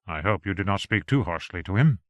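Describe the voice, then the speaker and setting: A man voicing a reserved gentleman husband who speaks in a measured, dry, subdued way. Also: deep voice, expressive, no echo.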